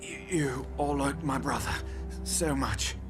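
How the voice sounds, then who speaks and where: An actor playing a megalomaniac, envious video game villain with a measured, taunting, theatrical delivery. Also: male voice, medium pitch, lightly acted. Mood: bitter, cold. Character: megalomaniac male villain, envious and mocking, craving to remake mankind. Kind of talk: video game cutscene acting. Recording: loud music over the voice.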